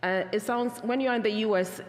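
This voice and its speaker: A woman's voice: fairly high pitch, a little fast. A woman from Ghana with a Ghanaian accent, giving an earnest, reflective talk on peacebuilding and answering questions, often restarting her phrases. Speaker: peacebuilding speaker. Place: church hall. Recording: reverberant church hall.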